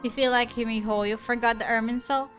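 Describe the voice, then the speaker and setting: A woman's voice: fairly high pitch, fairly even intonation, fairly quick, fairly bright tone, soft background music. A woman streamer giving casual commentary while playing a story game, chatty and thinking aloud about the plot. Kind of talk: casual commentary over gameplay.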